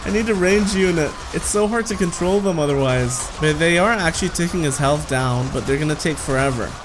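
A man's voice: a little high, fairly quick, background noise. A man in his thirties giving casual, playful gameplay commentary as a gaming video host, talking to the game and chuckling often.

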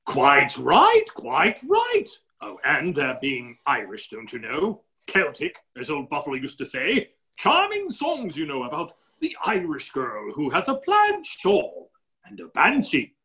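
A middle-aged actor playing a genial, rambling, exclamatory upper-class duke in a stage play reading. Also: male voice, fairly high pitch, huge pitch swings, measured pace, pushed and bright, exaggerated voice. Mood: genially vague. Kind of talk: stage play reading over video call.

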